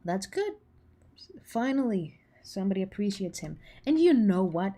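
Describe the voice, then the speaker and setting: A woman's voice: medium pitch, very animated, normal speed, mellow. A woman in her twenties chatting casually with her livestream viewers, teasing and full of filler words. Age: 20s. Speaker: animator streaming to chat.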